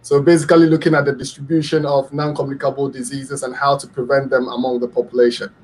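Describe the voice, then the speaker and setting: A man's voice: medium pitch, little pitch movement, quick pace. A middle-aged man from Ghana, a public health lecturer, explaining a health condition on a radio talk show in an earnest, explanatory way with frequent ums and repeated words.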